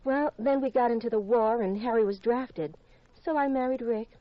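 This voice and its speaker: Actress as a flirty widow on vintage radio. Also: female voice, on the high side, normal speed, dry recording, lightly acted. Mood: matter-of-fact. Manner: coy, teasing, unsentimental. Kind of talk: 1950s radio detective drama acting. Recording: dull vintage radio recording.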